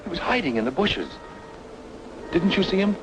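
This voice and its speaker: A man's voice: high-pitched, sing-song, normal speed, over music, rough recording. An actor playing an inquisitive man in an old-time radio noir drama. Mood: urgent.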